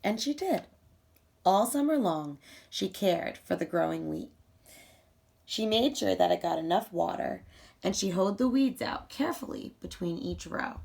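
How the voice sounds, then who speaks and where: A woman reading a children's storybook aloud in a deliberate, emphatic, teacherly storytelling style. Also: mid-range voice, animated, gravelly, darkish tone.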